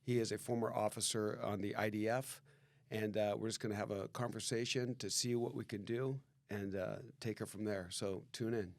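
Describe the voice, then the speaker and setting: A man's voice: fairly low pitch, steady tone, normal speed, no room sound. A man hosting a podcast in a casual, joking way, introducing his guest and asking questions. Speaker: podcast host.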